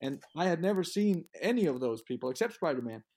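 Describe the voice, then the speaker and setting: A man from Europe, a guest recalling comics in a halting way with many restarts, laughing easily. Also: a little high, big pitch swings, mellow. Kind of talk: casual interview chat.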